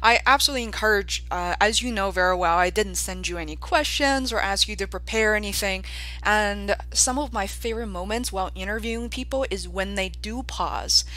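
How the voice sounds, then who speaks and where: A woman hosting a podcast, asking lively follow-up questions and talking at length between them. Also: medium pitch, a little fast, poor audio. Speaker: podcast host, interviewer. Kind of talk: casual interview chat.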